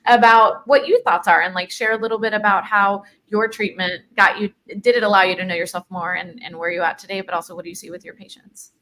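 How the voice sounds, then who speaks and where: Co-host, woman, quick and upbeat, faint room hiss. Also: mid-range voice, fairly even intonation, pushed and bright, low-quality recording. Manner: enthusiastic, encouraging. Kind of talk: casual live-stream chat.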